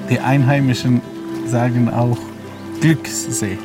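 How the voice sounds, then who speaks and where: A man in his seventies, a mountain guide, telling an old local legend in a halting way with many fillers. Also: mid-range voice, flat delivery, over loud music. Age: 70s. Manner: hesitant, with frequent fillers.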